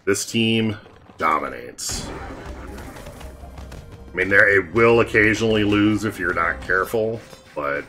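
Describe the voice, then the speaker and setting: A man hosting a casual gameplay commentary, explaining team strategy in a relaxed way. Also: lowish voice, unhurried, long pauses, soft background music. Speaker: gaming channel host.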